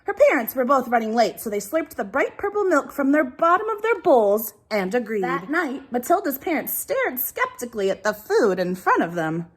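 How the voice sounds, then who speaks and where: A woman teacher reading a children's storybook aloud in an expressive, emphatic way. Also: high-pitched, normal speed, hardly any pauses, slightly rough. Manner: animated, emphatic delivery.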